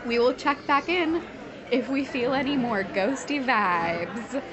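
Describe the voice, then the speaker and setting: A woman giving a casual, deadpan on-location report over the din of a busy restaurant. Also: on the high side, slow. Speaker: diner recording a field report. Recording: loud restaurant crowd noise.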